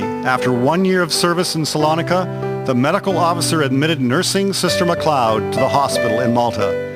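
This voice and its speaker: A man reading scripted narration for a historical radio drama. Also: on the high side. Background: instrumental music.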